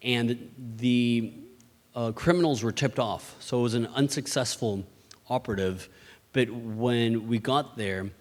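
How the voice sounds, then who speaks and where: A man from Mexico gives an earnest church missions presentation, often restarting and repeating words as he goes. His voice sits at a middling pitch and carries a long reverb, as if it is filling a large hall. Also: a little slow. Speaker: missions ministry leader.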